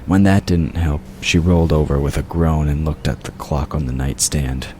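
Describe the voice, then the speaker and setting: Very deep-voiced male audiobook narrator. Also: fairly even intonation, moderate pace, darkish tone. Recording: clear noise behind the voice. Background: ambient sound effects.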